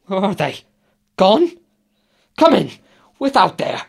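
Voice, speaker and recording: A desperate, ruthless king, played by an actor, declaiming intensely in classical radio-play style. His delivery is slow, with a very animated pitch that rises and plunges. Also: vocal fry, slightly rough, exaggerated voice. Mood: horrified, agitated. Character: tormented, commanding warrior king, desperate and ruthless. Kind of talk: Shakespearean radio drama acting.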